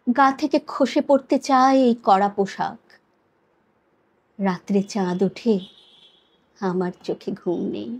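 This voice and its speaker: A woman gives a dramatic poetry recitation in a somewhat high voice. She takes her time, moving very slowly through each phrase. Her delivery is solemn and measured, with deliberate pauses. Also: a little mellow.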